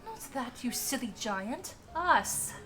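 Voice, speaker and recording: A woman's voice: high voice, big pitch swings, takes it slowly, bright, forceful tone, faint music behind, exaggerated voice. A woman playing a gracious, teasing young Victorian wife and hostess in a scripted audio roleplay, with polite, playful phrasing.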